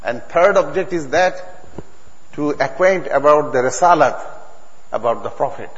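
A man's voice: fairly high pitch, expressive. Bangladeshi man preaching in a reverberant hall. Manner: earnest, halting, emphatic, repeating phrases in non-native English. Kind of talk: religious sermon to a congregation. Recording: dull recording in a long-reverb hall.

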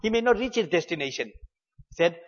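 A man giving an earnest, measured religious talk, mixing in Arabic and Urdu religious terms. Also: sits high, lively intonation, long reverb tail, lo-fi sound. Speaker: Islamic preacher.

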